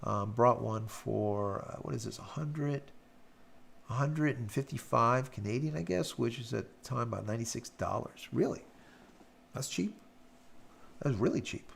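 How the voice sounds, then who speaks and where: Raspy gravelly guy rambling through chat comments on his stream, lots of ums. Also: medium pitch, lively intonation, moderate pace. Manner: rambling, filler-heavy, hums while scrolling. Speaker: live stream host. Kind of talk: casual live-stream chat reading viewer comments.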